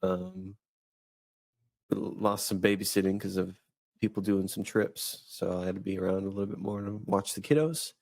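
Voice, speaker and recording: Casting note: man in his thirties, very low gravelly voice, dry close sound, easygoing rambling podcast host. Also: steady tone, normal speed, a little mellow, no room sound. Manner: rambling, many restarts and fillers. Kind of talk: casual chat.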